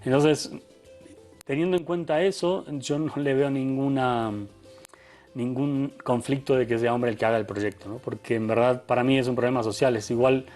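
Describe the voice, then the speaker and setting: A man's voice: mid-range voice, steady tone, moderate pace, a little mellow, soft background music. Argentine man, faded accent, reflective interview guest. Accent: Argentine, softened. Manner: thoughtful, many restarts and tag questions. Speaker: photographer guest. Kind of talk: conversational interview.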